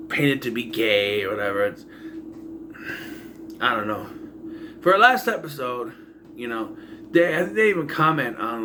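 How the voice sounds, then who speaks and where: A man with a bright, forward voice at a middle pitch talks through a review in a loose, unscripted way. He rambles casually from point to point, over faint background noise. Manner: loose, improvised, acting out quoted bits. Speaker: reviewer of an animated series. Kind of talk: casual rambling episode recap.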